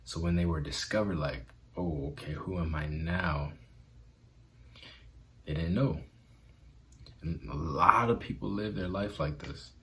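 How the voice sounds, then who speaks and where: A man's voice: deep voice, measured pace, long pauses, fairly bright tone, slight background noise. A man vlogging in an earnest, conversational way about self-acceptance, with a stutter. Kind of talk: motivational vlog talk.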